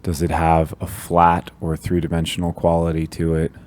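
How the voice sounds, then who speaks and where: Deep, mellow-voiced man guiding meditation. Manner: calm, gently instructive, with long pauses between phrases. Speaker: meditation guide. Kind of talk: guided meditation.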